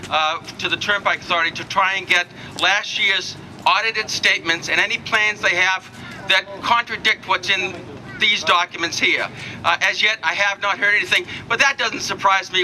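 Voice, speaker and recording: Guy ranting about numbers outdoors, lots of uh's, high-ish voice, traffic roaring behind. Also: low-quality recording. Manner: blunt, fact-heavy, with many fillers and restarts. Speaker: rally speaker. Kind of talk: outdoor political speech. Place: outdoors at a street rally. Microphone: no working microphone, recorded from a distance. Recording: outdoor rally with loud traffic noise.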